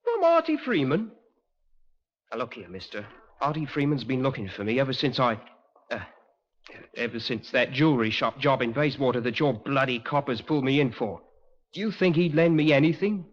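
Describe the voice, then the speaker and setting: An actor playing an insolent, streetwise petty crook in a 1950s British radio drama. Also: male voice, medium pitch, very animated, normal speed. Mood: insolent, defiant. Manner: cheeky, defiant. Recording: dull, lo-fi 1950s radio recording.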